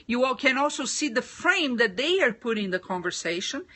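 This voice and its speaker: A woman's voice: fairly high pitch, very animated, fairly quick, a bit bright. A woman from Brazil with a Brazilian Portuguese accent, giving business communication tips in a casual live stream, thoughtful with frequent restarts. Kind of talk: casual livestream talk.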